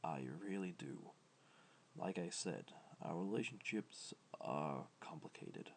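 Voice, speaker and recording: Gentle immortal god for ASMR audio roleplay, played by a man: deep, crackly, hushed, fond and unhurried. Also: lively intonation, exaggerated voice. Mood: wistful, candid. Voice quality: low, soft, creaky, breathy at times. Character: gentle, ancient male god, fond, comforting, modest. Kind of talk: soft-spoken ASMR comfort roleplay.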